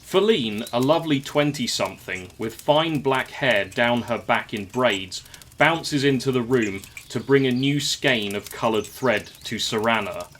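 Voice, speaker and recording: A man's voice: mid-range voice, unhurried, fairly bright tone, slight background noise. A man narrating a scripted audio drama in the present tense, as a storyteller.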